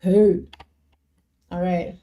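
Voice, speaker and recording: A woman's voice: on the low side, level tone, slow, mellow. A young woman from Jamaica chatting casually on a live stream, reading viewers' comments and answering them by name with lots of fillers.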